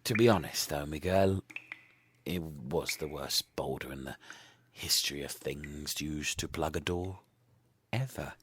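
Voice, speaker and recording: A man playing a gruff, deadpan member of a bickering troupe in a comic audio drama, with a husky voice. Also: very deep voice, a little slow, exaggerated voice. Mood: dry.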